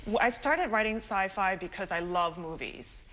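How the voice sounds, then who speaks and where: A woman's voice: mid-range voice, lively intonation, moderate pace, fairly bright tone, slight background noise. A woman in her thirties from Singapore, a guest author in a morning TV studio interview, chatty and full of fillers. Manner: chatty, laughs often, many fillers.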